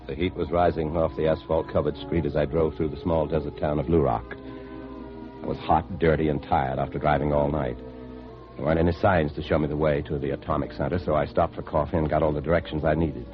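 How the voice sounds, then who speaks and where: Deep-voiced, earnest American radio-drama lead. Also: male voice, fairly even intonation, fairly quick, soft background music. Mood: tense narration. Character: worried, insistent man searching for his missing brother, narrating. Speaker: lead actor and first-person narrator. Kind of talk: 1950s radio drama acting. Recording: muffled old 1950s radio recording.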